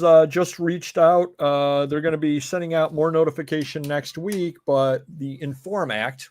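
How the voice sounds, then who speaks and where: Middle-aged host, upstate New York accent, chatty and joking, dry close sound, mid-pitched. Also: male voice, dry recording. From Cleveland, Ohio. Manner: chatty, jokey, rambling with fillers. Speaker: host of a reselling show. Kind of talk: casual live-stream chat.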